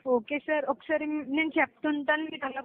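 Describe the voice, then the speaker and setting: A woman's voice: sits high, steady tone, normal speed. Formal woman agent on a muffled phone line. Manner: formal, apologetic, repeats set phrases. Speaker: customer care agent. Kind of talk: scripted polite phone customer service. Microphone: telephone line.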